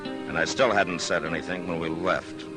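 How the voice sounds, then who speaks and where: An American actor playing a steady, plain-spoken frontier marshal in a 1950s radio western. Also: male voice, sits low, moderate pace, loud music behind the voice, close to an everyday voice. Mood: sober narration. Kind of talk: 1950s American radio western acting, part first-person narration.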